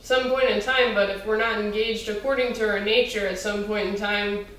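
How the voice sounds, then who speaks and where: A woman's voice: mid-range voice, fairly even intonation, normal speed, a bit bright. Distant woman questioner in a reverberant hall. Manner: hesitant, with many fillers. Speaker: audience member asking a question. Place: temple hall. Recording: distant mic in a very echoey hall.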